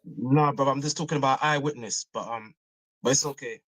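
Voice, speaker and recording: A man's voice: medium pitch, a little fast, a bit bright, rough recording. A man in a religious debate who questions politely but firmly and keeps calling the other man brother.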